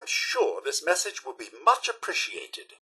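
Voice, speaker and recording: An actor playing a fussy, servile robot butler in a video game, with a British accent, a raspy voice and pompous, overly formal manners. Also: male voice, a little high, wildly swooping pitch, moderate pace, bright, forceful tone, heavily acted, rough recording. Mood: dutiful, musing. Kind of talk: theatrical video game character lines.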